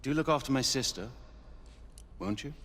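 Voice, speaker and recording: A man playing a stern, aristocratic Victorian knight commander in a video game, speaking formally and with measured courtesy. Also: medium pitch, lively intonation, fairly bright tone. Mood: courteous, wry. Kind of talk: video game cutscene acting. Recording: long reverberant hall with music.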